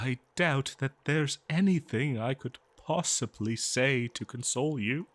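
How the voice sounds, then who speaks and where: A man playing an eager, kindly archaeologist in a fantasy audio roleplay, speaking in a hesitant, stammering, scholarly way straight to the listener. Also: mid-range voice. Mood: sympathetic, halting. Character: eager, kindly male archaeologist befriending a lonely monster. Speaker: audio drama performer. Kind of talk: scripted fantasy audio roleplay, one-sided dialogue to listener.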